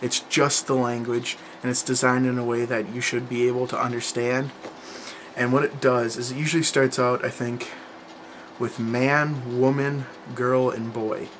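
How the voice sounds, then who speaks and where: A man's voice: medium pitch, level tone, moderate pace, a bit bright, slight background noise. A young man chatting casually to camera about learning languages, rambling, with lots of fillers and restarts. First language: English.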